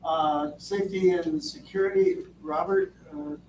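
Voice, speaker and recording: A man's voice: high-pitched, huge pitch swings, measured pace, vocal fry, a little mellow, recorded from a distance. A man from Vermont on a school board, giving rambling, folksy remarks with many fillers. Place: school board meeting room. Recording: echoey room, mic from afar, background noise.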